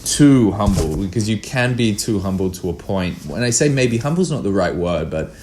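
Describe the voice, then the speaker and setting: A man's voice: fairly low pitch, lively intonation, normal speed, a little mellow, a little hiss or hum. A man speaking as a filmmaker guest in a casual interview, earnest and emphatic, often repeating himself for emphasis.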